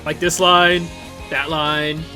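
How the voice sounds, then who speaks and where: A man giving casual, enthusiastic video commentary in an excitable, conversational way, full of exclamations and asides. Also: on the high side, takes it slowly. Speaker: video host commenting on visual novel openings. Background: music playing behind the voice.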